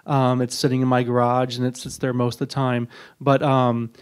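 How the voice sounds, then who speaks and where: A man's voice: medium pitch, little pitch movement, normal speed, clear, resonant tone. A man speaking conversationally as a panelist, with thoughtful, tentative phrasing, into a handheld microphone. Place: indoor auditorium panel before an audience.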